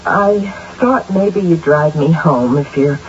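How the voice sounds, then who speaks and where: A woman's voice: deep voice, takes it slowly. An actress playing a lonely, guilt-ridden, sleepless middle-aged mother in a theatrical, fretful 1970s radio drama style. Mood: weary, insistent. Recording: dull, faintly noisy vintage radio recording.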